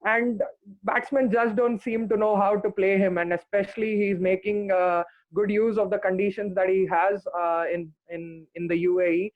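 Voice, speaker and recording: A man speaking as a guest on a podcast, talking earnestly and pausing often on "uh". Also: high voice, little pitch movement, no room sound. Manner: earnest, many "uh" pauses. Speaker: podcast guest, cricket fan. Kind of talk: casual podcast chat. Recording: dry tinny phone-like line.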